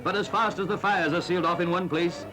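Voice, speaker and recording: Male vintage newsreel narrator, high-pitched, grave formal drama, loud music underneath on an archival soundtrack. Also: steady tone, fast talker, rough recording.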